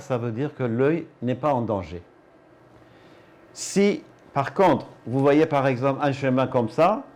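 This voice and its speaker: A man's voice: mid-range voice, mellow. An older man from Iran, in his sixties or above, speaks as an eye doctor, explaining things didactically in an interview. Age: 60s or older. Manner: didactic, asks and answers his own questions. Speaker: ophthalmologist. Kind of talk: explanatory interview talk, presenting his work and equipment.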